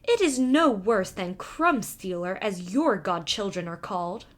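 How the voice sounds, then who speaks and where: A sly, greedy she-cat from a fairy tale, as a woman narrator puts her on. She talks slowly with lively swoops, smug and coolly dismissive. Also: mid-range voice, natural voice.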